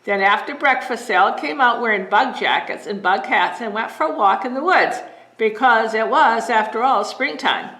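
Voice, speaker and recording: A woman librarian reading a bedtime story aloud in a gentle, expressive way. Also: fairly high pitch, moderate pace. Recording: roomy echo.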